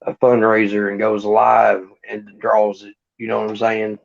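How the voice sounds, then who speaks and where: A man's voice: lowish voice, completely flat delivery, unhurried, creaky. A man in his late thirties, co-hosting a casual livestream chat, laid-back and chatty, telling stories. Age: 30s. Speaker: co-host. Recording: muffled lo-fi stream audio.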